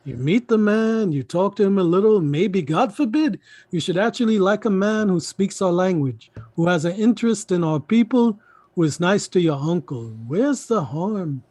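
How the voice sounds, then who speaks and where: An emphatic middle-aged uncle in a stage drama acted by a man, coaxing and indignant by turns. His pitch swings widely as he pleads and repeats himself, and the sound is dry and close. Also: lively intonation, normal speed, a little mellow, no echo. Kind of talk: theatrical stage play reading.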